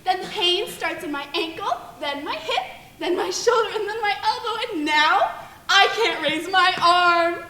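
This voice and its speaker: A young woman performs an ailing, stubborn working woman in a stage play. The voice is very high and sharp, thrown out forcefully into an echoing hall. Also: animated, measured pace, recorded from a distance, heavily acted. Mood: pained, intense. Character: ailing young married former factory woman, stubbornly fighting. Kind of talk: theatrical stage monologue. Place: theater stage. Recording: echoey stage recording.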